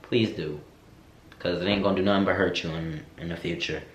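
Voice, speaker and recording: A man's voice: sits low, fairly quick. A young man chatting candidly to camera, sassy and slangy, answering viewer questions. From United States. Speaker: vlogger. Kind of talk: casual Q&A chat to camera. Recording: echoey room.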